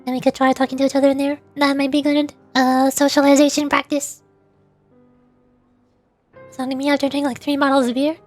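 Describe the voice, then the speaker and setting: A woman with a high, light voice chats casually with her stream viewers. She talks softly and pauses to think before she answers. Faint music plays under her voice. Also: level tone, no echo.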